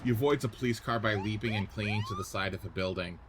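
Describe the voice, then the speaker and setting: A man's voice: on the low side, quick pace, background noise. A man narrating stage directions in a scripted radio play reading.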